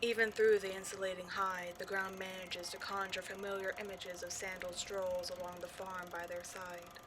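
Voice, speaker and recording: A woman's voice: mid-range voice, level tone, normal speed, background noise, natural voice. A woman playing a petty, theatrical ghost from an old horror film in a scripted comedic audio roleplay, mock-sinister and easily exasperated. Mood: hushed, brooding.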